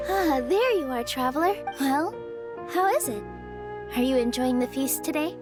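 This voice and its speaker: A woman's voice: high-pitched, lively intonation, moderate pace, loud music behind the voice. A woman playing a sweet, gracious young hostess in a video game, courteous, sincere and welcoming. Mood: welcoming, pleased. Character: gracious, sweet young woman hosting a feast, eager to please.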